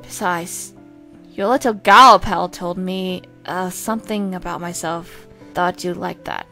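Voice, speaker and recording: A woman's voice: lowish voice, measured pace, gravelly, pushed and bright, soft background music, heavily acted. A woman voicing a proud, prim male twin in an amateur comic dub, haughty and theatrical but grudgingly kind. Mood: awkward, reluctant.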